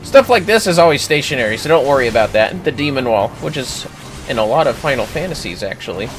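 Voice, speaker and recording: A man's voice: medium pitch, lively intonation, quick pace, noisy background. A man livestreaming gameplay, casually explaining tactics step by step with informal, sweary commentary over music. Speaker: livestreamer, gaming host. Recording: music playing behind the voice.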